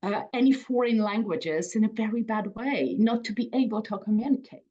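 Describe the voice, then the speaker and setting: A Ukrainian woman in her forties, a native Russian speaker, giving a conference talk in a reflective way, with restarts and soft laughs. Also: fairly high pitch, brisk, muffled recording. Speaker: museum speaker.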